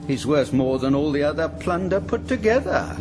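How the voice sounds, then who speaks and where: An actor in a radio drama playing a mocking, menacing outlaw lord with a sardonic, theatrical drawl. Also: male voice, on the high side, very animated, normal speed, noisy background. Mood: suave menace.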